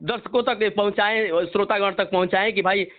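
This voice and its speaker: High-voiced Bihari man on a phone call. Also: steady tone, moderate pace. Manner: defensive, insistent, repeats himself. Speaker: Bhojpuri singer. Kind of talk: phone interview, explaining himself. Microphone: telephone. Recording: narrow muffled phone-call recording.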